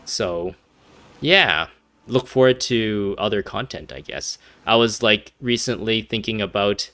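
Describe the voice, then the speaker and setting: A man's voice: lowish voice, pushed and bright, dry recording. A young man doing casual game commentary, rambling and hesitant as he thinks aloud. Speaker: gaming video host.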